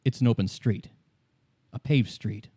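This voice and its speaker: A man narrating a tabletop game in the second person as game master, improvising in a halting way and repeating phrases. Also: mid-range voice, mellow, slightly rough.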